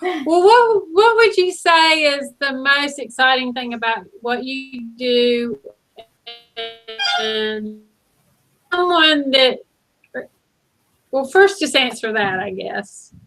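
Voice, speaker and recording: A woman hosting a live online interview, enthusiastic and quick to laugh. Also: high voice, a bit bright, soft background music, poor audio. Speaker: host.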